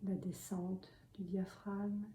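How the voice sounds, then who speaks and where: A woman meditation teacher guiding a sitting meditation in a calm, soft, breathy voice that often nears a whisper, giving gentle instructions with long pauses. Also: medium pitch. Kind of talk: guided meditation. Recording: roomy echo, faint background noise.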